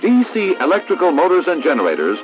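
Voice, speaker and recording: An American man reading a scripted instructional narration in a formal, measured, didactic way, as in a 1960s military training film. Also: a little high, wildly swooping pitch, music in the background. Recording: dull vintage 1960s film soundtrack.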